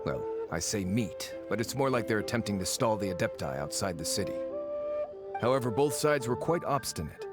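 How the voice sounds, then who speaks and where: A man's voice: fairly low pitch, lively intonation, brisk, over loud music, natural voice. A man playing a wry agent of a shadowy faction in a video game, dry, informative and a little scornful. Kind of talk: video game character acting.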